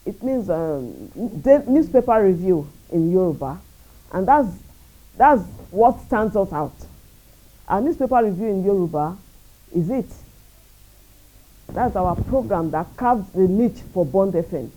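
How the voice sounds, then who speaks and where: Very dark-voiced Nigerian woman. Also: mid-range voice, lively intonation, rough recording. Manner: measured, earnest, proud. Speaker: radio station executive. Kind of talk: interview answers.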